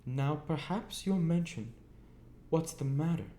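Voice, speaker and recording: A man voicing a sharp-tempered, bossy older sister in a storybook reading, snapping out her lines. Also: on the high side, animated, slow, slight background noise, voice pushed up. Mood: furious scolding. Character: sharp-tempered scolding woman, the narrator's domineering older sister.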